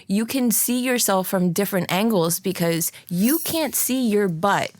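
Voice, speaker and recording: A woman's voice: medium pitch, lively intonation, unhurried, no echo. Playful Jersey woman, dry close sound. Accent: New Jersey. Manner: playful, enthusiastic. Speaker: podcast guest, photographer. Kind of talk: casual interview chat.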